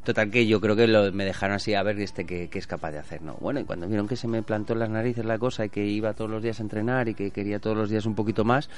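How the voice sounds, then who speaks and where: A man's voice: on the low side, fairly even intonation, rapid-fire, hardly any pauses. A man from Badalona with a Spanish accent, speaking as a bullfighter being interviewed in a casual, colloquial storytelling way. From Badalona, Spain.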